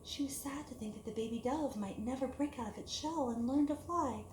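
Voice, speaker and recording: A woman's voice: sits high, fairly quick, darkish tone. Echoey woman reading a children's story. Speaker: storyteller reading a picture book aloud to children. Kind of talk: expressive children's story read-aloud. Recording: echoey room with clear background hiss.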